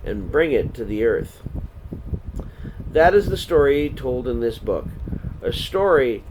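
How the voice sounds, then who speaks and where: A man reading a children's storybook aloud as a storyteller, with deliberate phrasing and pauses. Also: mid-range voice. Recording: steady air-conditioner noise behind.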